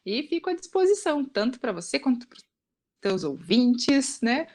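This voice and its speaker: A woman's voice: sits high, sing-song, normal speed, darkish tone. A Brazilian woman explaining things as an expert guest in a casual podcast conversation, often adding short tag questions.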